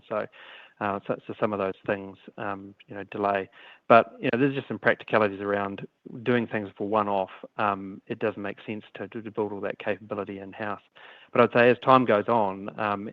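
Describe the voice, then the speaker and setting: A man speaking as a company executive, answering questions on an earnings call in a halting way, often repeating and restarting his words. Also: lowish voice, muffled recording. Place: conference call line. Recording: echoey conference call audio, band-limited.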